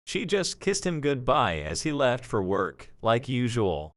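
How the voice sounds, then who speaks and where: A man's voice: medium pitch, very animated, measured pace, dry recording. A man reading a scripted story as the narrator of an audio drama.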